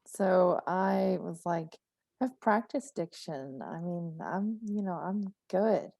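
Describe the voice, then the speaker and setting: A woman with a slight Southern US accent talks as a guest on a video call, chatty and quick to laugh. Her voice has a gravelly fry and a mellow, dark tone. The sound is dry and close. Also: on the low side, animated, no room sound. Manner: chatty, laughs often, acts out her own thoughts. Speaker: guest writer. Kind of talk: casual conversation.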